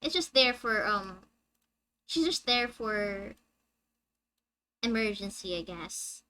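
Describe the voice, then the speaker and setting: A young woman with a high-pitched, squeaky voice, chatting with her viewers on a live game stream and reading the story text aloud. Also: expressive, takes it slowly, sharp tone. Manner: chatty, reads dialogue aloud and answers chat.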